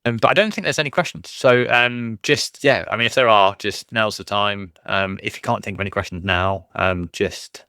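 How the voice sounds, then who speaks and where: Casting: male fleet commander, very deep gravelly voice, loose rambling Q&A delivery, dry close mic. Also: normal speed, few pauses, sharp tone, dry recording. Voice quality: low voice. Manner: rambling, many ums and restarts, self-deprecating. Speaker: fleet commander answering questions. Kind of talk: casual livestream Q&A.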